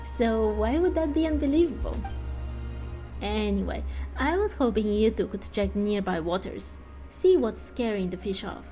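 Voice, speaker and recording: A woman's voice: mid-range voice, moderate pace, warm tone, faint music behind, close to an everyday voice. A woman acting a grumbling fisher villager in a game, explaining things in a matter-of-fact way. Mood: insistent.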